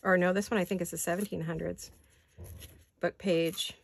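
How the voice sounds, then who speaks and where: Older woman with a gravelly, raspy voice. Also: fairly low pitch, fairly even intonation, moderate pace. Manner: rambling, chatty, thinks aloud while working. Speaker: craft vlogger. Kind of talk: casual crafting chat. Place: home craft studio. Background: neighborhood garbage-day banging.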